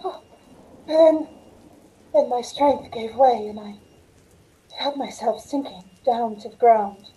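A middle-aged woman playing a shaken waitress who recounts a terrifying ordeal in dramatic, halting radio-play narration. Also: on the high side, dark tone, low-quality recording. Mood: shaken, dazed. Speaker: lead actress in a radio play. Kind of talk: dramatic first-person radio acting. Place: room at home, on a video call.

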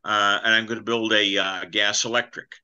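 A man's voice: on the low side, little pitch movement, moderate pace, pushed and bright, clean tone, dull audio. An older man chatting casually on a video call about his model-building plans, rambling and self-deprecating, often trailing off mid-thought. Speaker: clinic presenter. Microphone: video call webcam.

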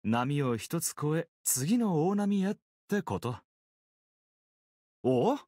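A man's voice: on the high side, very animated, takes it slowly, mellow, dry recording, clean studio sound, full character voice. A man playing an easygoing, teasing young swordsman in a mobile game, with a casual, playful drawl. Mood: relaxed, playful. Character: easygoing, teasing young swordsman, laid-back surfer temper. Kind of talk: Japanese mobile game character voice lines.

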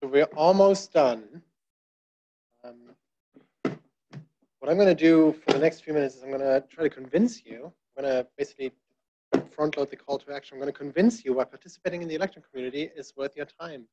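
A man's voice: fairly high pitch, fairly quick, warm tone, a little hiss or hum. A man giving a conversational talk at a tech meetup from a podium, with many fillers and wry asides. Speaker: conference speaker. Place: dark presentation room. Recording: dull lo-fi room recording.

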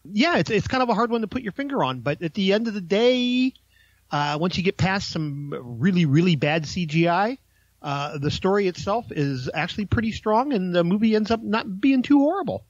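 Higher-voiced man chatting through a review, dull sound. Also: lively intonation, normal speed, dry recording. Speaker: film reviewer. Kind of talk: casual film review chat.

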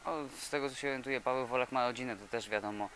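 A young male boxer in his twenties from Poland, answering interview questions haltingly with many fillers and repeated words, recorded on a handheld mic in an indoor gym. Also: mid-range voice, a bit bright, a little hiss or hum. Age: 20s. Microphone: handheld reporter mic.

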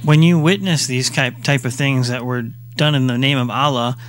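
A man's voice: medium pitch, normal speed, a bit bright, a little hiss or hum. A man hosting a faith radio show who interviews his guest with short reactions and leading questions.

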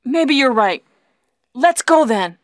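An actress playing a brave young heroine in a video game, earnest and deliberate, with stilted delivery. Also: female voice, sits high, animated, no echo, studio quality. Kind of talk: video game cutscene acting.

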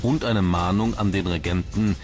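Male documentary narrator, very low pitch, measured and formal delivery of scripted text, clear music bed underneath. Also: steady tone.

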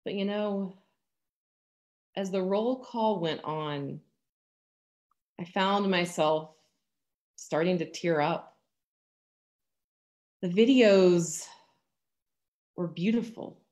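Slow-paced American woman preaching. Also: fairly low pitch, a little mellow. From Virginia, United States. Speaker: pastor. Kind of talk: sermon. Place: indoors at home, streamed over a video call. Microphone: computer mic on a video call.

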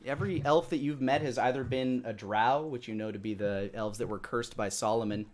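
Casting: male game master, thirties, mid-pitched, halting improvised narration. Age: 30s. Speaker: dungeon master, host. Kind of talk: narrating a live-streamed tabletop game.

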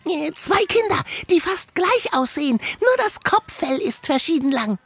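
A woman's voice: high voice, very animated, measured pace, heavily acted. A woman playing a small, timid, chatty talking chipmunk in a children's audio drama. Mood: eager, earnest.

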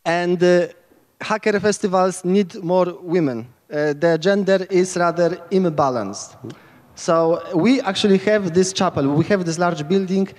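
A man's voice: high-pitched, unhurried, a little mellow, in a large hall. A man in his thirties with a Polish accent, giving a rambling, enthusiastic lightning talk into a handheld mic at a camp stage. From Poland. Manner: rambling, enthusiastic, many restarts. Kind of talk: informal conference talk. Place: large tent stage at hacker camp.

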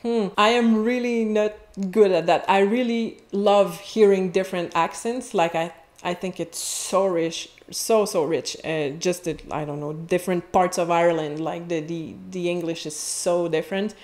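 A woman in her thirties with a Quebec French accent, a French teacher talking as a guest in an animated interview with many restarts, heard over earphone mic on a video call. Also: fairly low pitch, normal speed, hardly any pauses. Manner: animated, chatty, frequent restarts and laughs. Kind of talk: casual interview chat. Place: indoor home office. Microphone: wired earphones with mic on a video call.

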